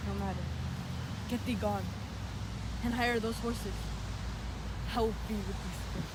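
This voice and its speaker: A woman's voice: mid-range voice, steady tone, a little slow, heavy background noise, natural voice. A woman playing a lovesick young man driven desperate by grief, in declamatory, theatrical Shakespearean verse. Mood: urgent, curt. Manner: declamatory, impassioned.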